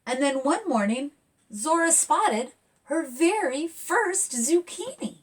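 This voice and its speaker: Expressive woman reading to children, lively pitch. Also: takes it slowly, far from the mic. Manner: expressive, addressing young listeners with questions. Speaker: children's book author reading aloud. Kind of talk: storytime talk and picture book read-aloud for children.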